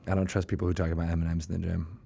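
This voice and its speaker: A man's voice: low-pitched, little pitch movement, rapid-fire, creaky, dark tone, slightly rough. A man chatting casually and playfully as the host of a podcast. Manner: jokey, playful. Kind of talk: casual banter and show sign-off.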